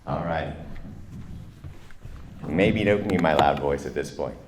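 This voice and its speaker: A man emceeing a chamber of commerce event, joking about his loud voice and introducing speakers. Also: rumbling low, unhurried, a little hiss or hum. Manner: loud, playful, self-aware emcee. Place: event room at a college.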